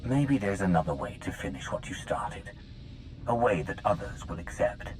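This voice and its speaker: Very deep-voiced, resolute game hero. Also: male voice, sing-song, normal speed, soft background music, low-quality recording. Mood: calm, persuasive. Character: measured, resolute male space-opera hero and interrogator. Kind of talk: cinematic video game acting.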